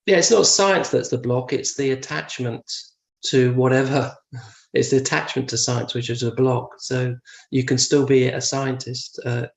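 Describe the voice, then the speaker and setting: A man in a casual discussion of Buddhist teaching, talking hesitantly with fillers and soft laughs over a video call. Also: mid-range voice, steady tone, moderate pace, gravelly, echoey room. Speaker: discussion participant.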